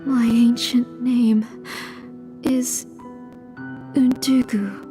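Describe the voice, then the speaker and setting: A woman's voice: on the high side, little pitch movement, extremely slow, mellow, faint music behind. A woman playing a grieving, stubborn mother in denial in a video game, with a pleading, emotionally fraught delivery. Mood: shaken, remorseful. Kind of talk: dramatic video game voice acting.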